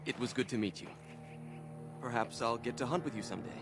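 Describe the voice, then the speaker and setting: An actor playing a humble, earnest young city elf in conversational video game dialogue. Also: male voice, medium pitch, fast talker, lightly acted. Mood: earnest, grateful. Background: game music.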